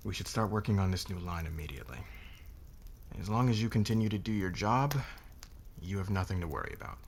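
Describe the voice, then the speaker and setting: A man playing a demanding, controlling boss in an ASMR roleplay, speaking in a measured, deliberate, commanding way with a gravelly voice. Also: deep voice, a little hiss or hum. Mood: cool, commanding. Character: demanding, controlling male boss, a powerful calm lord.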